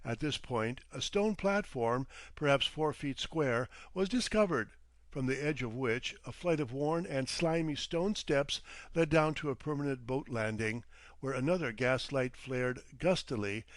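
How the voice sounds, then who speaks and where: A man's voice: mid-range voice, unhurried, dry recording. Man narrating a vintage mystery, dry close sound. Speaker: audiobook narrator. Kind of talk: scripted narration of vintage detective fiction.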